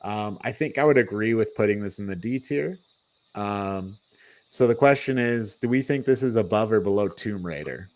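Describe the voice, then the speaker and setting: A man's voice: on the low side, normal speed, a little mellow. Man chatting casually on a podcast. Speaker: podcast co-host reviewing movies. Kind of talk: casual panel chat.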